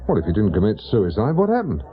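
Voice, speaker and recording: A stern British ex-policeman in a radio play. He questions deliberately and persistently, with pitch that moves widely from low to high as he presses. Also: huge pitch swings, muffled recording. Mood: probing. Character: probing male former policeman turned investigator, stern and persistent. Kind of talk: radio drama acting.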